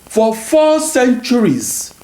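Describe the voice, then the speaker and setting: A Nigerian man in his sixties, whose first language is Igbo, delivers a measured, scripted lecture in a fairly high voice. He speaks deliberately and now and then corrects a word. The recording is roomy and echoey, with a faint hiss of static underneath. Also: huge pitch swings, warm tone. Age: 60s.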